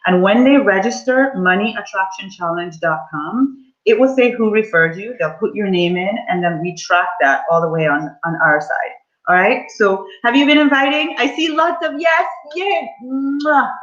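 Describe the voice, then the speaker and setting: A woman's voice: medium pitch, expressive, measured pace, a bit bright, lo-fi sound. A woman in her forties, a money mindset coach, leading a live online coaching session and speaking to her audience in an upbeat, encouraging way. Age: 40s.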